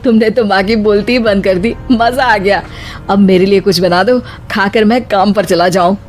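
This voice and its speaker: A woman's voice: mid-range voice, steady tone, moderate pace, darkish tone, close to an everyday voice. A woman voicing an easygoing, dismissive husband in casual dialogue for a cartoon moral story. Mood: cheerful, protesting. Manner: breezy, colloquial, brushing off complaints. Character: easygoing, dismissive married adult son. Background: background music.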